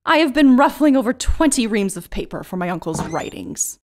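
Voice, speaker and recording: A woman's voice: a little high, sing-song, fairly quick. A woman playing a flighty, affected young society lady in a period audio farce, with airy, complaining airs. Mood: affected weariness.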